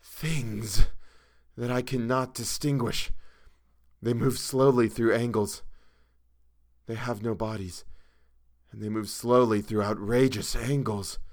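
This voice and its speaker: A man's voice: mid-range voice, steady tone, a little mellow. Man acting a terrified, half-mad raving man. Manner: hysterical, drawn-out, rising from gasps to screams. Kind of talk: dramatic horror audiobook character reading.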